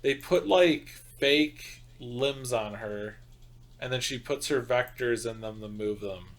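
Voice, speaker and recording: A man's voice: mid-range voice, slow. A young man co-hosting a podcast, chatting casually in a profane, joking way. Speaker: podcast co-host. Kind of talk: casual comedic chat.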